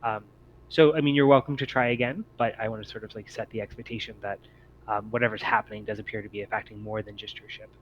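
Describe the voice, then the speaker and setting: A man's voice: fairly low pitch, steady tone, fast. Guy gamemastering a tabletop rpg, informal explaining, muffled audio w faint noise. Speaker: game master. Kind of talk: narrating a tabletop role-playing game.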